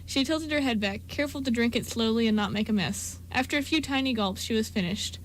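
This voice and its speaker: A woman's voice: a little high, fairly quick, a little hiss or hum, low-quality recording. A woman reading scripted story narration for an amateur radio drama.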